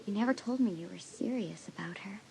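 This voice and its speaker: A woman's voice: medium pitch, fairly quick, vocal fry, a little mellow, lightly acted, poor audio. An actress playing an anxious, wistful and sensitive teenage girl in a dramatised audiobook. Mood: hurt, hesitant. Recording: audiobook tape with faint noise.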